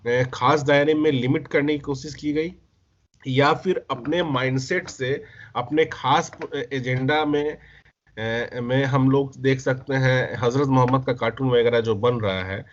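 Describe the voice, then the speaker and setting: A man's voice: medium pitch, steady tone, unhurried. A man interviewing in a conversational way, posing long questions and rewording them hesitantly as he goes. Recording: muffled lo-fi recording.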